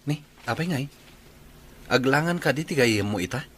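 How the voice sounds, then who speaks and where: A man's voice: medium pitch, expressive, moderate pace, fairly bright tone, breathy edge. A man playing an earnest, patient young suitor in an Ilocano radio drama.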